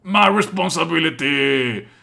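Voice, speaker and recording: A man live-streaming casual commentary on a game playthrough, sardonic, exasperated and quick to swear. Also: on the high side, animated, gravelly, sharp tone.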